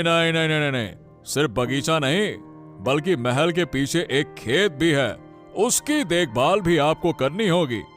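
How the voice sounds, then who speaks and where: A man voicing a commanding, pompous king with a deliberate, authoritative manner in a Hindi cartoon story. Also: a little high, fairly bright tone. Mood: stern, threatening. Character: commanding, imperious king giving orders to subjects.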